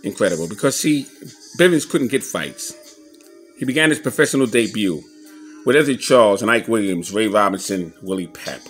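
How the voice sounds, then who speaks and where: A man giving a casual show-and-tell commentary as an enthusiastic collector, halting a little as he reads old clippings aloud. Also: fairly low pitch, expressive, fairly bright tone, faint music behind. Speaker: boxing memorabilia collector showing his scrapbooks on video.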